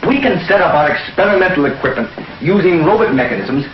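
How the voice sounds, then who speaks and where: An actor playing a determined space scientist, formal, emphatic and demanding, in 1950s science fiction television style. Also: male voice, on the high side, expressive, fast, close to an everyday voice. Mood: insistent. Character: insistent male space scientist, urgent and determined. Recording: roomy, dull, noisy 1950s TV soundtrack.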